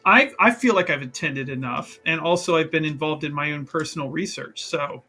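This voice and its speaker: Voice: medium pitch, brisk, bright, forceful tone. A player in a tabletop roleplaying game, talking casually in character, rambling and repeating phrases in a self-justifying way.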